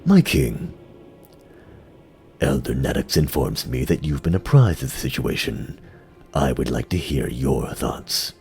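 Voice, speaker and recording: Man voicing a deep, stern insectoid monster king. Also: expressive, measured pace, creaky, long pauses, darkish tone, slightly rough, soft background music, full character voice. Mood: grave, measured. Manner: grave, formal, commanding. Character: old giant insectoid king, stern, weary, regal.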